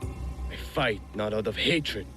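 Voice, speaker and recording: Slow, solemn feudal lord. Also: male voice, on the low side, lively intonation, music in the background. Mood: bitter grief. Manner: measured, poetic, solemn. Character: proud, grieving feudal Japanese lord, poetic and vengeful. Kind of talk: video game cutscene acting.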